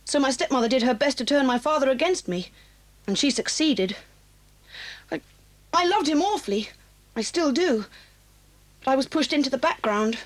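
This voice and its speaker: Fairly high voice, wistful English schoolgirl, proud, frank and misunderstood, children's audio drama. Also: lively intonation, normal speed, pauses often. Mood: wistful, confiding. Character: lonely, proud, misunderstood boarding-school girl.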